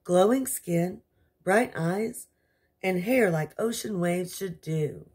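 A woman's voice: lowish voice, takes it slowly, a little mellow, breathy edge, exaggerated voice. A woman voicing a particular, commanding storybook queen in a prim, dramatic manner. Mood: wishful.

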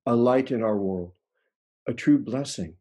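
Male, 60s, solemn tribute speaker; husky, dark tone, measured phrasing over a video call. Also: mid-range voice. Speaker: rabbi giving a tribute. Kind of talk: scripted eulogy, read aloud. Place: indoor room at home, video call.

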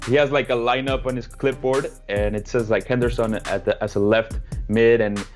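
A man's voice: mid-range voice, steady tone, moderate pace, faint music behind. A young man co-hosting a casual sports chat over a video call, wry and opinionated. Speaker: co-host. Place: home room, video call.

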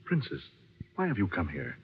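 A man's voice: sits low, wildly swooping pitch, normal speed, husky, full character voice. A man playing a sceptical, blunt American pilot in an old-time radio drama, wry and incredulous in manner. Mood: puzzled, probing. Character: brash, sceptical American pilot stranded among strangers. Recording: muffled, noisy old radio broadcast.

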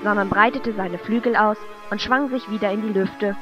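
A woman's voice: mid-range voice, fairly even intonation, moderate pace, lightly acted. A woman reading scripted narration as the storyteller of a fantasy audio drama. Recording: muffled lo-fi amateur recording. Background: loud music.

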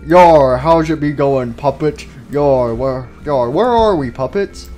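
A man's voice: on the high side, slow pace, dark tone, faint music behind. A man voicing a boisterous, adventurous animatronic pirate fox who rambles and peppers speech with pirate growls of "yar". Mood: jaunty. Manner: starts sentences with "yar".

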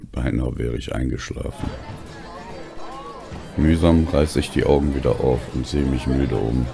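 A man with an extremely low, gravelly voice reads a first-person story. His delivery is slow, weary and brooding, with music playing behind him. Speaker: narrator. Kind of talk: scripted first-person audio story narration.

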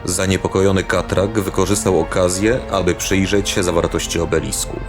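A man narrating a scripted dark fantasy audio drama as the storyteller. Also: deep voice, little pitch movement, brisk. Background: music.

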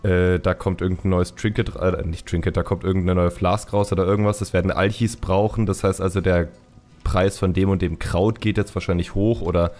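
Male podcast host, low-pitched voice, informal and wryly joking. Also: little pitch movement, brisk, darkish tone. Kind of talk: casual podcast chat.

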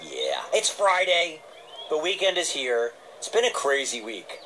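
A man's voice: a little high, huge pitch swings, a little slow, bright, forceful tone, poor audio. A man hosting a children's radio show in a casual, playful way. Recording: radio played from tablet, some noise.

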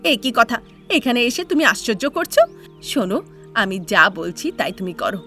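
A woman's voice: medium pitch, sing-song, moderate pace, bright, forceful tone, soft background music. A woman voicing a scheming, bossy middle-aged village aunt in a cartoon tale, coaxing and giving orders. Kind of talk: cartoon fairy-tale character acting.

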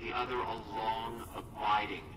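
Guy acting as solemn cult preacher in a game, grand slow sermon, distant w/ loud background noise. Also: lowish voice, level tone, lightly acted. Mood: solemn, reverent. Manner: grand, reverent, measured. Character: solemn male cult prophet preaching to his followers. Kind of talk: ceremonial sermon-like speech. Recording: echoey, distant, noisy hall.

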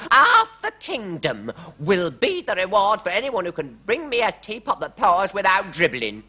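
A storyteller voicing a shrill, frantic, demanding queen in a storybook tale. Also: huge pitch swings, normal speed, sharp tone, exaggerated voice. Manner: wailing, high and shrill.

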